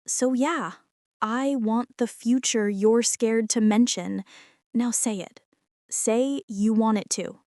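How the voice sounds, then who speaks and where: Woman acting a soft, slow-talking devoted college girlfriend. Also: fairly high pitch, steady tone, no room sound, clean studio sound. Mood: tender, insistent. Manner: soft, intimate, reassuring, direct address to listener. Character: devoted, earnest college girlfriend, tender and bold. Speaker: roleplay ASMR performer. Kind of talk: girlfriend ASMR roleplay, intimate scripted monologue. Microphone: close, dry microphone.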